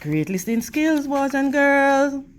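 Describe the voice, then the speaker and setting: A woman reading a picture book aloud to children like a teacher, in deliberate, measured phrases. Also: high voice, big pitch swings. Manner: deliberate, measured phrasing with questions and praise addressed to young listeners.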